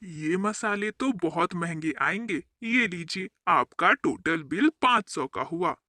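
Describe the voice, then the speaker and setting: A woman doing a low-voiced male shopkeeper. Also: animated, takes it slowly, bright, forceful tone, no room sound, studio quality, heavily acted. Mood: matter-of-fact.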